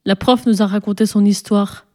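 A cheeky young schoolboy acted by a woman reader from a storybook, impulsive and curious. The talk is blunt and childish, full of exclamation, in a soft-edged mellow tone. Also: medium pitch, steady tone, moderate pace, natural voice. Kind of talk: storybook reading, character dialogue.

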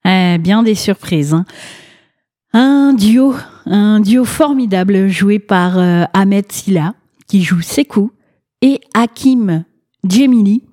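A woman's voice: mid-range voice, expressive, takes it slowly, warm tone, no room sound, studio quality. A French-speaking woman hosting a film review show, talking casually with many hesitations and fillers.

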